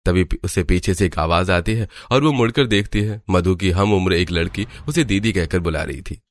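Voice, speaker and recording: A man's voice: low voice, normal speed, lightly acted. A man narrating a scripted cartoon story. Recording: music behind the voice. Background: background music.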